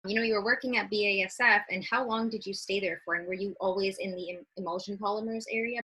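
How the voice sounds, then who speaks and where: A woman's voice: mid-range voice, steady tone, quick pace, pushed and bright, slightly rough, low-quality recording. A woman hosting an interview, curious and asking follow-up questions. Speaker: podcast host.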